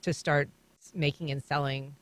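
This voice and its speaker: A man's voice: fairly high pitch, little pitch movement, normal speed. A man hosting a public radio interview, asking friendly conversational questions in a studio. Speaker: radio host. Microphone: studio microphone.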